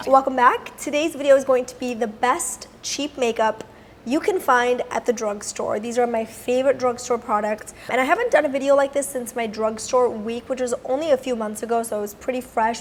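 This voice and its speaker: Raspy-voiced young woman vlogger. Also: a little high, fairly quick, slight background noise. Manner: chatty, upbeat, lots of fillers. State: raspy voice from a recent illness. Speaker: beauty vlogger. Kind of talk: casual product review to camera. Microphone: clip-on mic on top.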